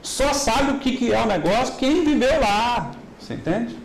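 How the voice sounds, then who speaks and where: A middle-aged man with a Minas Gerais accent giving a lively business talk and asking the audience rhetorical questions. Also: very high voice, normal speed, gravelly, echoey room, a little hiss or hum, poor audio. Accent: Minas Gerais Brazilian Portuguese. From Minas Gerais, Brazil. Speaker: business lecturer. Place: large hall.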